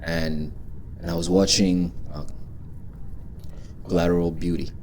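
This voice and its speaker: A man's voice: low voice, flat delivery, measured pace, a little mellow, a little hiss or hum. A man in his twenties from Congo, a guest in a casual interview, talking loosely with many fillers and restarts. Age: 20s.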